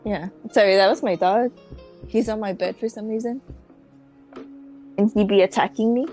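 A woman's voice: mid-range voice, fairly even intonation, normal speed, mellow, faint music behind. A teenage girl from Mexico co-hosting a podcast, chatting casually with dry sarcasm. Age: teens. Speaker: podcast co-host. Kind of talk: casual chat.

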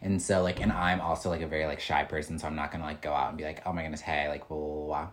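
A man's voice: a bass voice, level tone, very fast. A young man co-hosting a podcast, telling stories in a rambling way full of "like" and "um". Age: young adult. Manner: rambling, filler-heavy storytelling. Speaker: podcast co-host. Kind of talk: casual chat.

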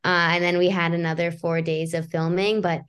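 A young woman in her twenties, a writer-director guest, telling stories in a quick, chatty way with fillers. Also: fairly low pitch, level tone, a bit bright, clear, resonant tone. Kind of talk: casual interview answers.

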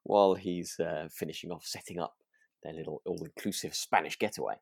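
A man's voice: low-pitched, fairly even intonation, brisk, vocal fry, breathy edge, no echo, clean studio sound. A British man co-hosting a podcast, chatting casually about a drama in a rambling, hesitant way. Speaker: podcast co-host.

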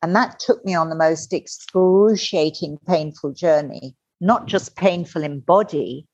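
A middle-aged woman telling her personal story as a podcast guest, candid and animated, acting out the voices she quotes. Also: sits low, a little slow, no echo. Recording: dull lo-fi dry sound.

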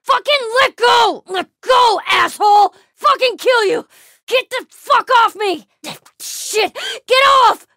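Young woman survivor, tough, terse, wry, unhurried, dry close sound, naturalistic game acting. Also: extremely high pitch, animated, bright, forceful tone, no room sound, exaggerated voice. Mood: enraged, struggling. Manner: muttering, terse, casually profane.

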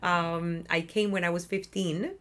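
A woman's voice: fairly low pitch, steady tone, unhurried. A woman in her thirties from Cuba with a Cuban Spanish accent, chatting as an interview guest about her nonprofit work and often tagging on "right?". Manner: talkative, upbeat, often adds "right?". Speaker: interview guest, nonprofit community development worker. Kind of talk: casual interview chat. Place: office.

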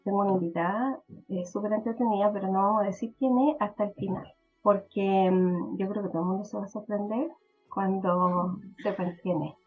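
A woman's voice: mid-range voice, fairly even intonation, a little fast, narrow, tinny audio, soft background music. A Chilean woman hosting a podcast interview, chatting casually and hesitantly with frequent fillers and laughs. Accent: Chilean Spanish. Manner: hesitant, mixes in English words, laughs often. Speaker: podcast host.

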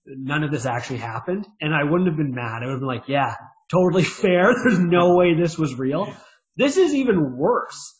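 A man's voice: on the high side, moderate pace. Joking man on a lo-fi podcast. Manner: joking, animated, self-mocking. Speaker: podcast co-host. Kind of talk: casual podcast chat. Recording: dull lo-fi sound.

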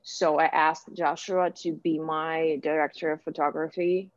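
Casting: woman, Ukrainian accent, low register, conversational and hesitant interviewee, muffled lo-fi recording. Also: level tone, measured pace. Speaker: interviewee, actor and director. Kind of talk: casual interview answers. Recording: echoey room, dull lo-fi sound.

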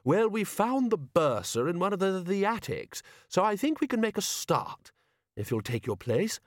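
Man voices a blustering, stammering old wizard, pitched high, loud. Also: big pitch swings, normal speed, no echo, studio quality. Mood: flustered. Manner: bellowing, stammering. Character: flustered blustering old male wizard leader.

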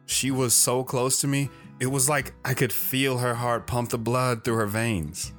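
A man's voice: mid-range voice, level tone, a little slow, fairly bright tone, soft background music, close to an everyday voice. A man playing an earnest, awkward teenage athlete who recounts his past in an audio drama, speaking plainly. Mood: reflective. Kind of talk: dramatic first-person audio drama narration and dialogue.

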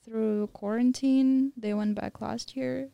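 Young woman guest, mellow tone with some fry, casual storyteller. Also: a little high, steady tone, slow, no echo. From Mongolian-German, living in Thailand. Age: young adult. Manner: candid, storytelling with many fillers. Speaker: podcast guest. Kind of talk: casual interview chat.

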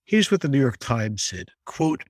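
A man hosting a podcast, reading a polished introduction and then asking his guest questions in a measured, articulate way. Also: mid-range voice, big pitch swings, no echo, clean studio sound. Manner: measured, articulate, reads from a script. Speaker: podcast host. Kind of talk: scripted intro then interviewing.

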